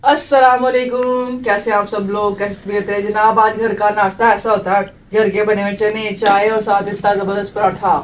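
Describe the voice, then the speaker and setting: A woman's voice: mid-range voice, flat delivery, moderate pace, few pauses. A woman with a Pakistani accent, chatting with viewers and explaining each step as she cooks at home. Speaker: home cook, vlog regular. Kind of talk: casual cooking demonstration. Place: home kitchen. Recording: roomy, from a distance, faint background hum.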